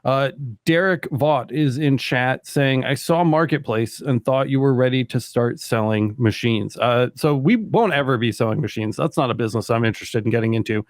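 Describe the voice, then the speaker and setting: A man's voice: mid-range voice, normal speed, few pauses, dry recording, clean studio sound. A man in his thirties hosting a podcast, chatting casually and rambling with lots of fillers. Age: 30s. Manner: laid-back, rambling, lots of "like" and "dude". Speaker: podcast host. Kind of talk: casual chat.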